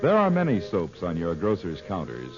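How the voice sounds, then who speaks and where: A man's voice: low-pitched, wildly swooping pitch, moderate pace. A male announcer delivering a scripted old-time radio narration in a formal, dramatic, emphatic manner. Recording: dull vintage radio broadcast recording. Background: music.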